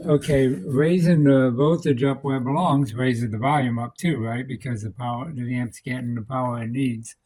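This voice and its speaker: A man with a mid-pitched voice presents a repair in an offhand, unscripted way. He is plain-spoken and practical, working out his thoughts aloud as he goes. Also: fairly even intonation, normal speed, hardly any pauses, warm tone, poor audio. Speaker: electronics restorer presenting a repair demonstration. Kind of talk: casual unscripted demonstration.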